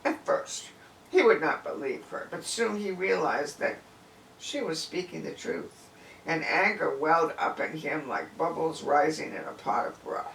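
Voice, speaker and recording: Slow, highly animated male storyteller in echoey room. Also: creaky. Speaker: storyteller reading aloud. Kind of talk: expressive folk tale read-aloud. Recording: distant, echoey room with faint noise.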